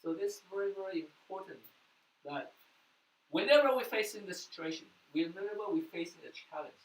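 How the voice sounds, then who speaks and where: A man's voice: high-pitched, lively intonation, a little fast. Man preaching in an echoey room. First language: Mandarin. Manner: earnest preaching, sudden loud bursts then soft drops. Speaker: pastor. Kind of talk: sermon. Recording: echoey room, mic at a distance, faint noise.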